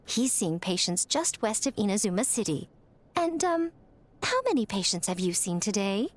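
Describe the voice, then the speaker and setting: A woman's voice: medium pitch, very animated, moderate pace. A woman voicing an elegant, sly shrine maiden in an anime-style game, arch and teasing with mock innocence. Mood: mock sympathy.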